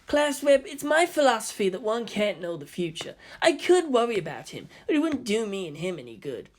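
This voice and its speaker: A woman's voice: on the high side, big pitch swings, fairly quick. A woman voicing a detached, philosophical teenage schoolboy in a fan fiction reading. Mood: detached, resigned.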